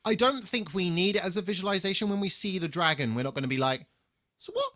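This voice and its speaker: A man's voice: high voice, quick pace, bright, forceful tone, dry recording, studio quality. Sweary, jokey man chatting on a podcast. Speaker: podcast co-host. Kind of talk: casual TV episode discussion.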